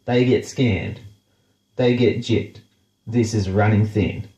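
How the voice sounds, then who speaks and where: Unhurried Australian host with a head cold, nasal and blunt, swearing his way through a sarcastic rant. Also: fairly low pitch, steady tone, mellow, roomy sound. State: congested, nasal from a blocked nose. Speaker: show host. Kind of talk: casual profane rant.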